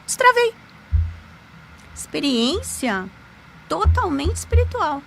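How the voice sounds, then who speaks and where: Gravelly bright-voiced woman. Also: slow pace, a little hiss or hum. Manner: animated, emphatic, with sudden pitch jumps. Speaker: podcast guest, lawyer and magic teacher. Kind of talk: conversational storytelling.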